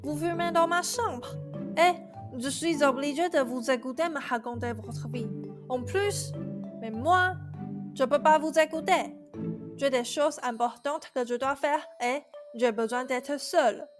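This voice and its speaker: A woman's voice: high-pitched, unhurried. A woman playing a put-upon young servant girl, a plaintive Cinderella with some exasperation, in a student radio play. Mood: exasperated. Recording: music behind the voice.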